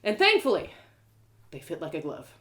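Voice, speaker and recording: A woman storyteller reading first-person fiction aloud in an expressive, theatrical way. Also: fairly low pitch, moderate pace. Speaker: author and storyteller.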